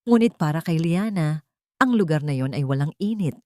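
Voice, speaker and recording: Woman reading a dramatic story, kinda low mellow voice, measured, really dry recording. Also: lively intonation, no echo. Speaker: story narrator. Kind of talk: dramatic scripted story narration.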